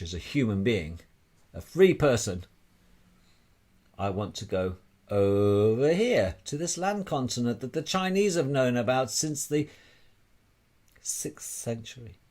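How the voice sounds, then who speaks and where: A man's voice: on the low side, lively intonation, slow, long pauses, a little mellow. A man giving an informal, emphatic explanatory monologue as an amateur presenter, repeating phrases and posing rhetorical questions.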